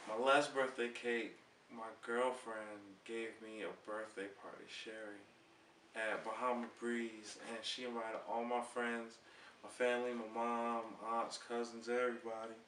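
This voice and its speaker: A relaxed man spins an easy-going story as a guest on a casual talk show. He sounds far from the mic in an echoey room, with a faint background hiss. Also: mid-range voice. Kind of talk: casual interview chat.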